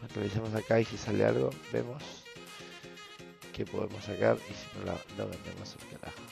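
Hoarse, gravelly young Argentine man streaming. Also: on the low side, fairly even intonation, brisk, music in the background. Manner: slangy, offhand. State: hoarse from shouting. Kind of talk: casual gaming video commentary. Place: small room at home, indoors.

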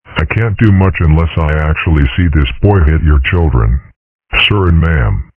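Man playing a very deep, pompous bull principal. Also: animated, moderate pace, no room sound, narrow, tinny audio. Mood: dismissive. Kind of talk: comic strip dub.

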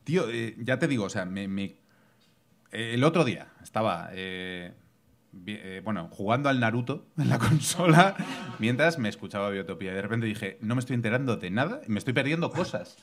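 A man's voice: on the low side, animated. A man hosting a live podcast in a small bar, talking eagerly and stopping and restarting often. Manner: enthusiastic, halting, many restarts. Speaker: podcast host. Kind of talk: casual chat. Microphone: desk mic.

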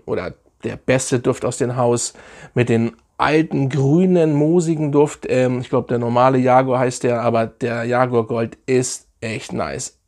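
A man in his thirties with a sore, hoarse throat, chatting enthusiastically as he reviews fragrances on camera. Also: medium pitch, a little mellow. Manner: enthusiastic, chatty, drawn-out emphatic phrases. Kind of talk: casual product review vlog. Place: living room, seated indoors.

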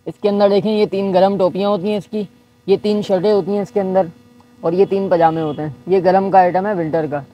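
A man, a shop owner calmly showing baby clothes in his market shop and quoting prices item by item. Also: high-pitched, brisk, lo-fi sound. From Pakistan. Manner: patient, listing items one by one. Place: busy market shop indoors.

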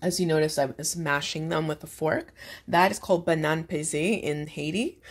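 A woman's voice: sits low, steady tone, normal speed, breathy edge. A woman hosting a casual home cooking demonstration, explaining things in an encouraging way. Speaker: host of a home cooking show.

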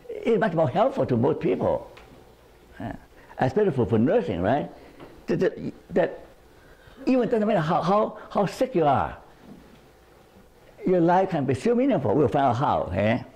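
Older man lecturing with wide animated pitch. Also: vocal fry, breathy edge. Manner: animated, gesturing widely, repeats words and asks "right?". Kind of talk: lecture to a live group. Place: living room at home. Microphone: lapel mic.

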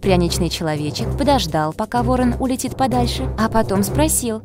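A woman's voice: on the high side, animated, a little fast, music in the background. A woman giving scripted narration of a children's fairy tale.

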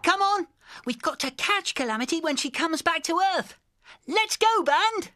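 A woman's voice: very high voice, expressive, moderate pace, music in the background. A woman voicing a cheerful, helpful cartoon bus, eager and upbeat. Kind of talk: cartoon voice acting.

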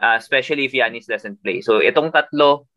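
Filipino man, bright and dry. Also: mid-range voice, fairly quick, dry recording, dull audio. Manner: mixes Tagalog and English, thinks aloud with fillers. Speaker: fantasy basketball podcast co-host. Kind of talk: casual analytical chat.

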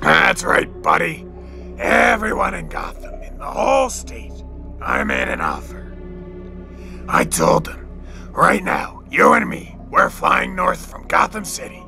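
A man playing a taunting, theatrical crime boss villain in a comic book audio drama, gravelly and menacing. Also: a little high, bright, forceful tone, heavily acted. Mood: gloating.

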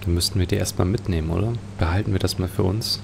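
A man's voice: sits low, steady tone, fast talker, gravelly, noisy background. A man giving musing, speculative commentary as he plays an adventure game. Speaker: let's play host.

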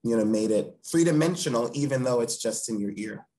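A man's voice: medium pitch, a little fast, mellow, low-quality recording. A man in his thirties speaking earnestly and eloquently as an interview guest on a video call from a room at home. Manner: earnest, eloquent, emphatic repeated phrasing. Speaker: theatre director, interview guest. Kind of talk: thoughtful interview talk.

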